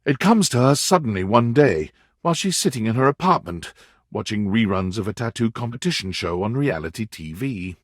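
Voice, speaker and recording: A man performing as the storyteller narrator of a dating game, reading scripted second-person narration in an expressive, measured way. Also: mid-range voice, no echo, studio quality.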